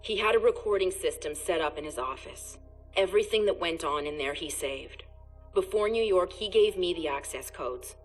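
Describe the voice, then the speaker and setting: A secretive, self-possessed female operative in a game cutscene, played by an actress. She speaks tersely and matter-of-factly in a slightly low register. Soft music sits behind her. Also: moderate pace, close to an everyday voice. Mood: guarded. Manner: terse, controlled, matter-of-fact. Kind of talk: video game cutscene acting.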